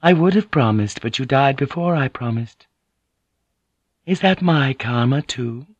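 A man's voice: mid-range voice, lively intonation, a little slow, breathy edge, no room sound, lo-fi sound, pitched above the performer's own voice. A man voicing a grieving, helpless noblewoman, solemn and formal, in an audiobook. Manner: formal, solemn self-questioning. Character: grieving, helpless noblewoman alone with her duty.

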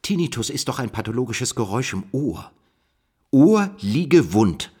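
An actor playing a pensive, erudite code-breaker in a radio play, musing and questioning in a husky voice. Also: male voice, medium pitch, sing-song, measured pace, a little mellow. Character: pensive male code-breaker puzzling over word riddles. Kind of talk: German radio play dialogue.

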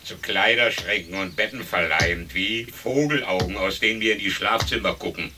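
An actor playing a contrary, gloomy gentleman in a radio play, with sardonic, drawn-out delivery on an old recording. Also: deep voice, close to an everyday voice. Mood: scornful. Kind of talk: stereo radio play acting. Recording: distant, faintly noisy old radio recording.

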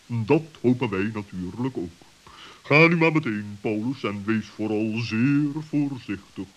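A man playing a cautious, wise owl with a deliberate, pompous way of speaking in a children's radio play. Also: on the low side, lively intonation, dull audio. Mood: solemn, cautious.

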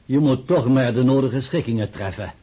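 A man acting a calm, dutiful staff general in a radio play, formal and matter-of-fact. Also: medium pitch, moderate pace, breathy edge, close to an everyday voice.

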